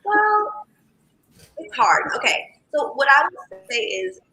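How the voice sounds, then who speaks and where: A singer and songwriter with a raspy, scratchy voice, chatting candidly as an interview guest, rambling with many fillers and restarts. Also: high voice, sing-song, takes it slowly, sharp tone, soft background music. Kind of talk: casual interview chat.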